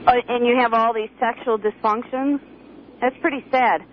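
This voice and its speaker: A woman's voice: a little high, fairly even intonation, moderate pace, highs cut off, slight background noise. A woman calling in to a radio show, hurling blunt, repetitive accusations. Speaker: caller. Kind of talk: call-in radio argument.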